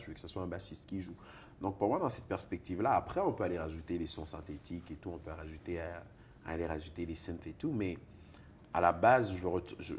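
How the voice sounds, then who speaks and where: A deep baritone man from Togo, guest in an interview, talking in an animated way about his music and laughing often. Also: a little hiss or hum. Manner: animated, laughs often, repeats words when searching. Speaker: singer-songwriter and poet guest. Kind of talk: conversational interview.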